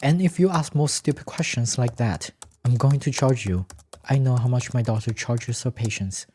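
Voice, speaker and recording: A man's voice: mid-range voice, moderate pace, mellow, slight background noise, lightly acted. A man voicing a blunt, scolding mature woman accountant in an audiobook story. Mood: exasperated scolding.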